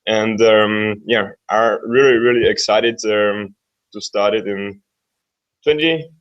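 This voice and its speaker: A man from Upper Austria, a flight director, introducing himself in a relaxed, hesitant way with many fillers. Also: fairly low pitch, slow, dull audio.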